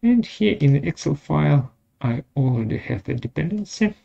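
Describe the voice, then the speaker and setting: A man presenting a step-by-step software tutorial in short, measured, halting phrases. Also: on the high side, expressive, creaky. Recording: dull lo-fi audio.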